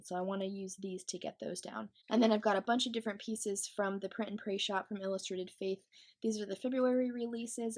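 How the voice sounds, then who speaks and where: A young woman with a stuffy, congested voice from a cold, talking casually through a Bible journaling craft video. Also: mid-range voice. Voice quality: stuffy nasal. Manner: chatty, with fillers and light laughs. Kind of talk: casual craft tutorial voice-over.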